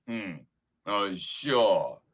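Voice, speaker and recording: Man acting a big, slow-talking storybook monster. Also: lowish voice, animated, a bit bright, husky, exaggerated voice. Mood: amused, doubtful. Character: big scary but gullible male gruffalo monster. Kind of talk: animated children's storybook read-aloud.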